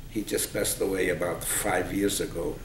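A man's voice: low voice, fairly even intonation, normal speed. A man in his eighties from Poland with a Polish accent, recalling his life as an oral history interviewee. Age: 80s. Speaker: interviewee, Holocaust survivor. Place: small office indoors, seated. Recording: roomy, noisy, distant microphone.

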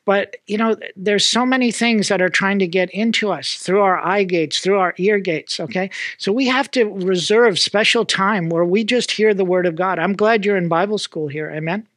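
A man's voice: high-pitched, moderate pace, hardly any pauses, fairly bright tone, no echo. A middle-aged American man preaching to Bible school students, checking in with "amen?" and "okay?" after nearly every point. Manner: punctuates phrases with "amen?" and "okay?". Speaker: pastor. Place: small indoor room with mantel decor.

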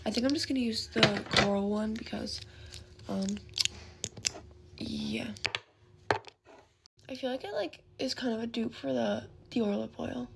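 A young woman in her late teens chats through her makeup routine at a bedroom vanity, rambling and leaning on "like" at every turn. Her slightly high voice is gravelly with vocal fry and worn, as if she is losing it. A phone close in front picks her up with a clear hiss of noise behind. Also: wildly swooping pitch, a little slow, long pauses. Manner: rambling, heavy use of "like", chatty. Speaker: beauty vlogger. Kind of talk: casual get-ready-with-me chat. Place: bedroom at a vanity desk, indoors. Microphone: phone camera close in front.

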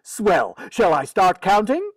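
A woman acts as a long-suffering daddy in old-time radio comedy. The delivery is slow, with big swings in pitch and a close, dry sound. The tone is firm and wry. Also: wildly swooping pitch, no room sound, heavily acted. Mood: coaxing. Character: exasperated, firm father of a mischievous girl. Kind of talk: 1940s radio comedy acting.

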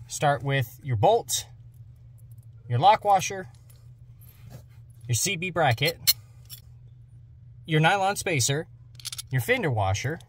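A young American man giving instructional how-to narration as host of a DIY car channel. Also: fairly high pitch. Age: young adult. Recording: clear background noise, clicks and jangling.

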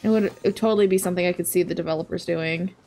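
A young woman in her twenties chatting casually while she plays a game on stream, thinking aloud through a headset mic, her voice a bit raspy today. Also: on the low side, steady tone, rapid-fire. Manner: chatty, thinking aloud, soft asides to chat. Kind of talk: casual gameplay commentary.

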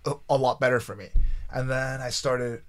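A man in his thirties from Taiwan, raised in Canada, telling his story as a podcast guest in a small studio, animated and halting with restarts. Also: medium pitch. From Taiwan, raised in Canada from age nine. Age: 30s. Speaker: guest, pro athlete. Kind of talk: storytelling in an interview. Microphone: desk mic on boom arm.

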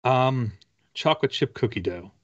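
A man's voice: medium pitch, lively intonation, normal speed, a bit bright. A man in his forties chatting casually as a guest author, rambling and self-deprecating, over a video call. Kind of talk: casual interview chat. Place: home room with bookshelves, video call. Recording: dull, lo-fi call audio.

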